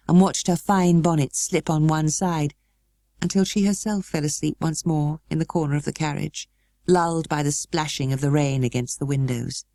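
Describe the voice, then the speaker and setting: A British woman reading a storybook as narrator, in a measured and expressive way. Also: low voice, no room sound. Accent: British English. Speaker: audiobook narrator.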